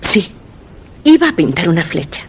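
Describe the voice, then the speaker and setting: A woman's voice: fairly low pitch, sing-song, normal speed, breathy edge, exaggerated voice. An actress with a Spain accent playing an enigmatic young woman, terse and evasive, in a suspense radio drama. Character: Cristina, enigmatic young woman wandering the metro.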